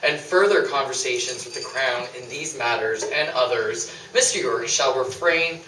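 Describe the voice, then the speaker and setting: Guy doing a bossy lady lawyer, stiff legalese, sharp forceful voice, stage radio play, echoey distant mic. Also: mid-range voice, slight background noise, close to an everyday voice. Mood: officious. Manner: formal, legalistic, halting. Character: officious, flustered female defence lawyer, formal and bossy. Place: small theatre stage, live performance.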